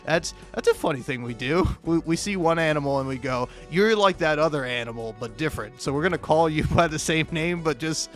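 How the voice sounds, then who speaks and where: A man with a slightly high voice rambles through a casual comic monologue over faint music. He swears freely and trips over his words, repeating bits and breaking off mid-sentence to start again. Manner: profane, halting, self-interrupting with stammered repeats; chuckles often while talking. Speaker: host of a comedic video.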